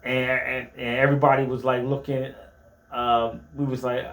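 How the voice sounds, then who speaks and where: A man co-hosting a sports talk show, stumbling and restarting as he argues his fan opinions. His voice sits in an ordinary middle pitch. The room gives it a noticeable echo. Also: little pitch movement, moderate pace, creaky, a bit bright. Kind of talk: casual sports chat.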